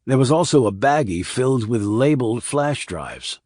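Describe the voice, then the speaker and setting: A man's voice: mid-range voice, expressive, normal speed, a little mellow, no echo, studio quality. A man narrating a crime thriller audiobook as a scripted reading.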